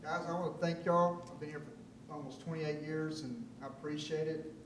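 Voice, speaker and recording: A man with a somewhat raised voice stumbles through a short thank-you, full of fillers. He is far from the mic in a very echoey room with clear background noise. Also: fairly high pitch, fairly even intonation. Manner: halting, with many fillers. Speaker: small business owner. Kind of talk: brief thank-you speech.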